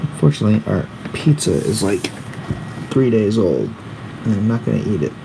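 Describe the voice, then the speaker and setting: Man, 40s, creaky mid-range voice, offhand livestream chatter with slangy asides, faint room hum behind. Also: fairly low pitch, sing-song, moderate pace. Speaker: comic artist hosting a live drawing stream. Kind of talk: casual livestream chat, reading and answering viewer comments. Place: indoors at a drawing desk.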